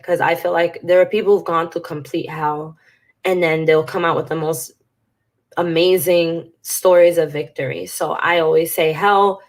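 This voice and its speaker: A woman vlogger with a congested, stuffy voice, talking frankly and emotionally to camera and swearing casually. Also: on the low side. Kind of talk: candid talk to camera.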